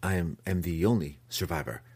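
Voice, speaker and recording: Man acting a stammering, nervous dark elf wizard. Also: deep voice, brisk, husky. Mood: frightened. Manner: stammering, anxious.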